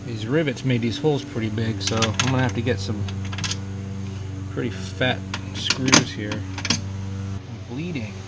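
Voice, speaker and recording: A man's voice: fairly low pitch, measured pace, pauses often. A man talking to himself as he fixes up a car for his video, muttering a casual running commentary while he works with his tools. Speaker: DIY car vlogger. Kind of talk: casual working commentary to camera. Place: working on a car, near a lawn being mowed. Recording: loud background noise around the car.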